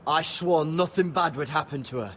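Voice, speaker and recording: A man's voice: fairly high pitch, normal speed, a little hiss or hum, natural voice. A man voicing an earnest ranger. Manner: steady, earnest. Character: earnest young male Night's Watch ranger. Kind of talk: video game voice acting. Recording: game audio with music underneath.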